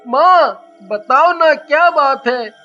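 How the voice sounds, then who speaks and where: Man playing a very high-pitched little boy. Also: lively intonation, slow, sharp tone, exaggerated voice. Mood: concerned, reassuring. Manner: childlike, earnest. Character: poor young village boy, caring, earnest son. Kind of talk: animated moral story dialogue. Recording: muffled audio with music behind.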